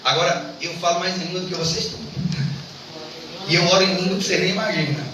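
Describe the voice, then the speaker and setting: A Brazilian man with a high-pitched voice preaches fervently to his congregation. He repeats his phrases and presses listeners with questions. The sound is heavily echoing and distant from the mic, as in a church. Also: steady tone, fast talker. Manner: repetitive, exhorting, often addressing listeners with rhetorical questions. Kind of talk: fervent Pentecostal sermon to a congregation. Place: church service indoors. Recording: very echoey church, distant mic, clear noise.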